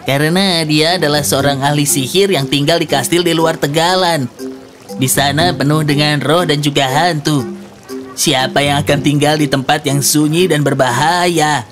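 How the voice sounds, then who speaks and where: A wary young-tale townsman looking for work, acted by a man. His voice is a little high and cautionary, with music clearly audible behind it. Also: steady tone, moderate pace, natural voice. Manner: questioning, cautionary. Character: wary townsman job-seeker afraid of ghosts.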